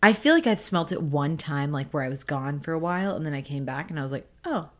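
Nasal low-voiced young woman. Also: animated, fast, a bit bright. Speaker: podcast co-host. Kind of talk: casual chat.

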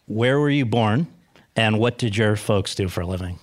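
A man hosting a podcast interview in front of a student audience, reading a formal introduction in a measured way. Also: fairly low pitch, no room sound. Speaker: podcast host. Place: university venue with audience.

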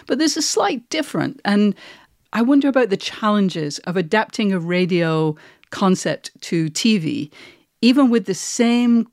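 A woman hosts a conversational interview in a fairly low voice. The sound is close and dry, with no room echo, as she talks through her questions as interviewer. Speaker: podcast host, interviewer.

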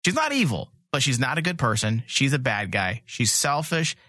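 A man's voice: medium pitch, very animated, moderate pace, bright, forceful tone, dry recording, studio quality. A man chatting casually and opinionatedly as a fan podcast host, blunt and swearing freely.

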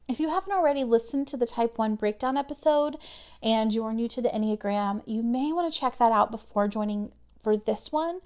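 A woman in her thirties hosting a podcast, talking in a chatty, conversational way with lots of fillers, her voice a little worn from overuse. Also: fairly high pitch. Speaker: podcast host. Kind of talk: casual podcast monologue.

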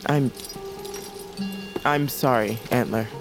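A woman's voice: a bass voice, steady tone, slow, over loud music, heavily acted. An actress playing a proud, guarded assassin in fantasy audio fiction, curt and defiant in her delivery. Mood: softening. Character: guarded, sharp-tongued female assassin, proud and commanding. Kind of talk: dramatic audio fiction acting.

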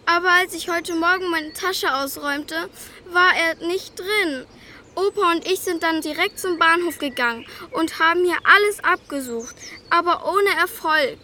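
Earnest little girl, children's audio drama; very high, slow, childlike delivery. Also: bright, forceful tone, slight background noise, full character voice. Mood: earnest, hopeful. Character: sad, hopeful little girl. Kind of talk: children's audio play acting.

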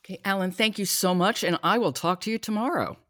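Low-voiced woman podcast host, close and dry. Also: fast, fairly bright tone, no room sound. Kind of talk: podcast book discussion.

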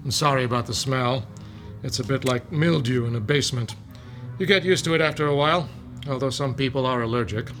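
An actor playing a reclusive, eccentric fungus professor who lectures mildly. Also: male voice, medium pitch, fairly quick, music in the background, lightly acted. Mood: lecturing. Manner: mild, lecturing.

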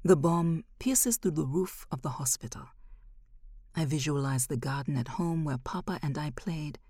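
A woman narrating scripted material as a podcast host, with a measured, expressive delivery. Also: low-pitched, husky, dry recording, clean studio sound.

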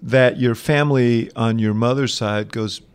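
A man's voice: mid-range voice, steady tone, a little slow, clean tone. An American man from New York hosting a podcast, interviewing in a casual conversational way. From New York, United States.

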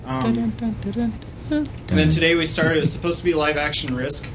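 A man's voice: on the high side, lively intonation, moderate pace. A man casually recapping gaming events as a guest on a live podcast at a convention. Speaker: podcast guest, game tournament winner. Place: indoor convention recording before an audience. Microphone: shared podcast microphone. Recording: live convention room, clear background noise.

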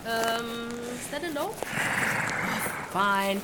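A woman's voice: on the high side, lively intonation, very slow. A woman playing a sarcastic, grumbling human in a fantasy audio drama, with flippant and casual modern speech. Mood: wry. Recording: clear background noise.

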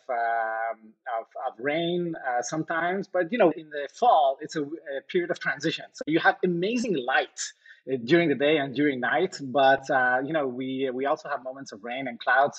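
A man's voice: medium pitch, fairly bright tone, slightly rough, lo-fi sound. A man from Iran, a company founder, answering interview questions thoughtfully with frequent fillers and restarts. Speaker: company co-founder and CEO, podcast guest.

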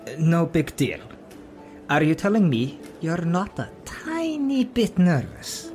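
A man's voice: fairly high pitch, huge pitch swings, slow, darkish tone, soft background music, heavily acted. A man playing an anxious old dwarf priest in an audio drama, with a faltering, nervous manner. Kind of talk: acted fan fiction audio drama.

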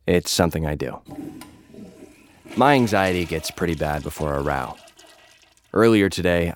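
A man's voice: deep voice, no room sound, studio quality. A man narrating an audio drama in the first person as a cocky, jaded young influencer who fights, with a brooding, menacing delivery. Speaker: narrator and lead character of an audio fiction.

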